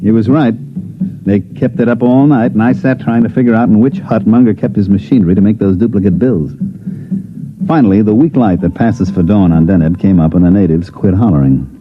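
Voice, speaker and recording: A man's voice: fairly low pitch, fairly quick, husky. An American actor playing a dry, wisecracking special agent who narrates his case in a 1950s radio science fiction drama. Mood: wry narrating. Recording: dull vintage radio recording, music behind.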